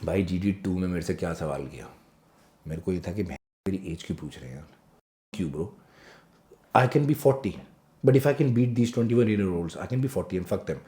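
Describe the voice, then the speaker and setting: A man in his thirties, a podcast guest, telling stories in a casual, animated way, swearing freely and acting out the people in them. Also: low-pitched, normal speed. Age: 30s. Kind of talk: casual storytelling, mixing Hindi and English. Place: podcast studio.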